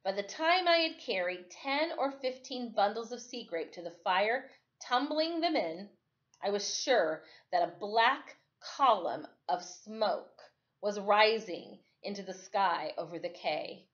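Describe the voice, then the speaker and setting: A woman tells a story aloud from a novel in a mid-pitched voice. Her delivery is expressive and deliberate, with dramatic phrasing. The recording is dull and lo-fi. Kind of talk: expressive first-person story read-aloud.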